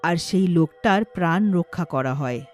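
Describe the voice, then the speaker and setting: A woman's voice: lowish voice, expressive, normal speed, a little mellow, soft background music. A woman narrating a scripted moral horror tale as a storyteller.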